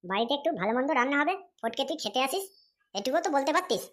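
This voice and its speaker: A woman's voice: high voice, very animated, very fast. A woman voicing a young man in a comedy cartoon who teases his friend for being stingy. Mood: teasing. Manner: teasing, reproachful. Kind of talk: Bengali comedy cartoon dialogue.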